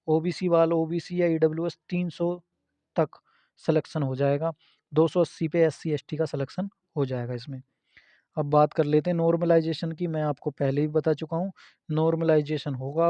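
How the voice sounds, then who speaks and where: Bright, higher-voiced man explaining. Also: no room sound. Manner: instructive, repeats points, checks with listeners. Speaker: host of an exam information channel. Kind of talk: informal explainer addressed to exam candidates. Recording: dull, muffled lo-fi sound.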